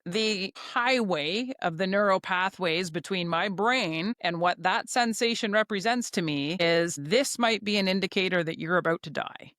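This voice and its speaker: A woman's voice: lowish voice, a bit bright, no echo, studio quality. A middle-aged woman from Canada, a podcast guest telling her story openly and explaining with examples. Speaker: interview guest. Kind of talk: candid podcast conversation.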